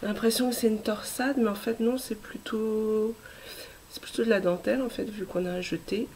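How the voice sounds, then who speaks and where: A woman in her thirties, hoarse from a cold, hosting a casual knitting vlog with many hesitations and soft asides. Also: mid-range voice, fairly even intonation, brisk, slight background noise. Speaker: knitting podcast host.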